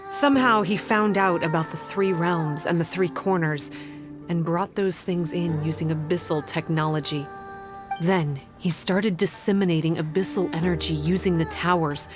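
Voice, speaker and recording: A woman playing a composed, analytical guide in a fantasy game, speaking in a measured, formal, explanatory way. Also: fairly low pitch, over music, lightly acted. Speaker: game character giving quest briefing. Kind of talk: scripted game dialogue.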